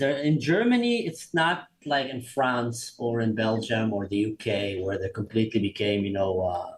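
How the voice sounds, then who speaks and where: Fast-talking Israeli man, guest. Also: medium pitch, rough recording. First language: Arabic. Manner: animated, fast storytelling with many restarts. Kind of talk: conversational interview.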